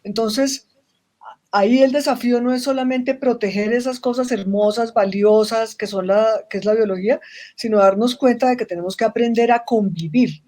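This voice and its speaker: A woman's voice: on the high side, fairly even intonation, moderate pace, warm tone. A Colombian woman speaking as a webinar guest in a casual, reflective way, often restarting phrases and ending them with tag questions. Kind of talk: casual interview conversation.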